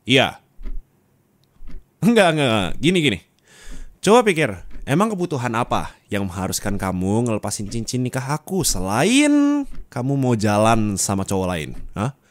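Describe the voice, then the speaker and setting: A man playing a furious, jealous husband in an ASMR roleplay, accusing and demanding with explosive shouted outbursts. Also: mid-range voice, no echo, pitched above the performer's own voice. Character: furious jealous young husband interrogating his wife, shouting.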